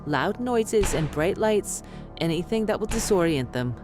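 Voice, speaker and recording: An actress playing a rational, stubborn doctor with a clinical, condescending manner in a horror audio drama. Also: female voice, fairly low pitch, animated, a little slow. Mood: controlled urgency. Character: rational woman doctor, stubborn, soothing yet condescending skeptic. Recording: music behind the voice.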